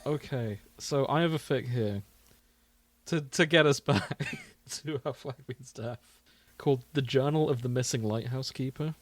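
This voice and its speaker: A man's voice: medium pitch, a little slow, no room sound. A man co-hosting a podcast in casual chat, with a jokey, giggly manner. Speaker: podcast co-host.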